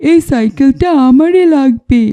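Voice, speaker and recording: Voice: sits high, normal speed, warm tone, natural voice. A voice actor playing an earnest young village schoolboy in a cartoon, explaining things plainly. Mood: apologetic. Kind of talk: cartoon voice acting.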